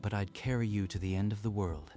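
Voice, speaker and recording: A man's voice: lowish voice, fast talker, mellow, faint music behind, close to an everyday voice. A man playing a devoted, teasing young basketball teammate in an anime-style dub. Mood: fond teasing.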